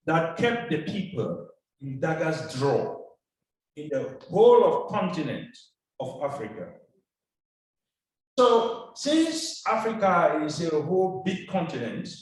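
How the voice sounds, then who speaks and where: A man from Cameroon giving a formal seminar presentation, speaking slowly and deliberately. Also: fairly high pitch. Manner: slow, deliberate, formal phrasing. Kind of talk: lecture presentation. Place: seminar hall. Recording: echoey hall from a distance.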